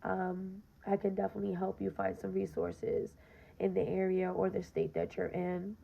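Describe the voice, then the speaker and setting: Raspy, gravelly woman speaking earnestly. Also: fairly low pitch, big pitch swings, normal speed. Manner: earnest, direct, frequent "um" and "you know". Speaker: podcast host. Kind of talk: casual personal monologue. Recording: dull lo-fi recording.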